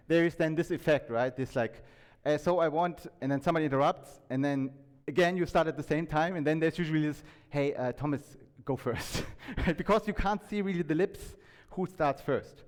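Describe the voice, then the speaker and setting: Man presenting in an echoey hall. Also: a little high. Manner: halting, restarts sentences repeatedly; conversational, asks the audience questions. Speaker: conference speaker. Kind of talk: informal tech talk presentation to an audience. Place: indoor talk venue, reverberant room. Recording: echoey hall with long reverb.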